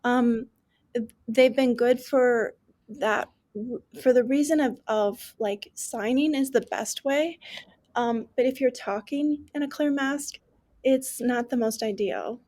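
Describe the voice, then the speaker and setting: A woman's voice: on the high side, takes it slowly, darkish tone. A woman guest expert on an interview podcast, explaining earnestly with frequent restarts. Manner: earnest, restarts often, many "like" and "um". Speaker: guest expert on deaf education. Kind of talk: conversational interview.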